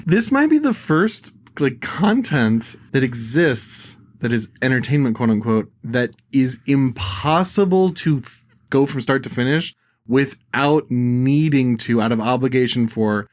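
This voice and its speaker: A man with a mid-pitched voice talks casually as a podcast co-host. He rambles into anecdotes and comic asides, with a mocking edge. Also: lively intonation, unhurried. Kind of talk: casual comedic chat.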